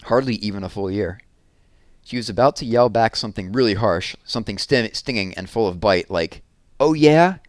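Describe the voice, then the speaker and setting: A man reading a children's novel aloud with expressive storytelling. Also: fairly low pitch, brisk, no echo, clean studio sound. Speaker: reader.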